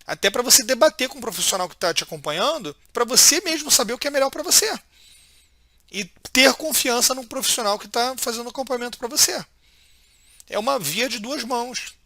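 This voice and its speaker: Male vlogger, 30s, high voice, dry close sound, chatty and earnest. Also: lively intonation, a little fast, pushed and bright, no room sound. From Brazil. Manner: informal, many fillers and restarts, earnest. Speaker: health video host. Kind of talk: casual video monologue to viewers.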